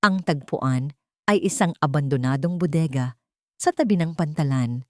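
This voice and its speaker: A woman's voice: sits low, lively intonation, moderate pace, a little mellow, dry recording, clean studio sound. A woman reading a scripted first-person story in a dramatic narration style.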